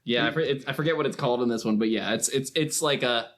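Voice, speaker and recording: A man's voice: mid-range voice, fast, fairly bright tone. A man talking casually in a film discussion, retelling things informally with mock quotes. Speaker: film podcast speaker.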